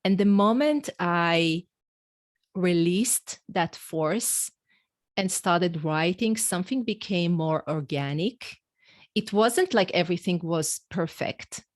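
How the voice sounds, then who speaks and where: A woman's voice: lowish voice, animated, slow, no room sound, clean studio sound. A middle-aged woman with an Israeli accent and Hebrew as her first language, telling her own story in a reflective, candid interview style. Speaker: podcast guest, executive coach and author. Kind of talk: conversational interview, personal storytelling.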